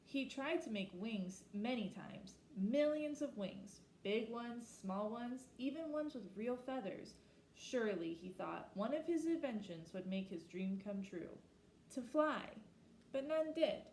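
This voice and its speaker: A woman reading a children's picture book aloud expressively, slowing down for emphasis and pausing to ask her listeners questions. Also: a little high, animated, a little mellow, roomy sound, slight background noise, rough recording. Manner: asks listeners reflective questions. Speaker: storybook reader.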